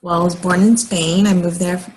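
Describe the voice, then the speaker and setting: An American woman in her late thirties talking casually and haltingly in a personal vlog, often pausing mid-sentence and rambling along. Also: on the low side, level tone, darkish tone, husky. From United States, moved often as a military child. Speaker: vlogger.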